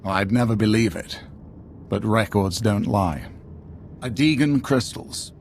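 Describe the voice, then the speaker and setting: A man's voice: on the low side, takes it slowly, a little hiss or hum. A man playing a stern, exasperated Imperial military commander in a video game, clipped and scornful. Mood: stern, briefing. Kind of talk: dramatic video game acting.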